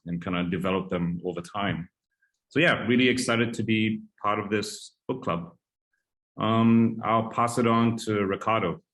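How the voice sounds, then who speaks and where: Lower-voiced man, sincere and keen, casual call intro. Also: fairly bright tone. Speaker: activist and book club member. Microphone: video call.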